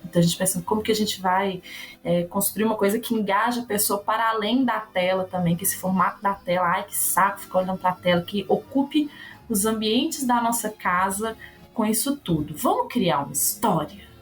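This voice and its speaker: An actress and educator talking casually in an interview. Her voice moves freely up and down as she thinks aloud. Soft music plays under her. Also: mid-range voice, moderate pace, poor audio. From Brazil. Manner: animated, musing, fond of rhetorical questions.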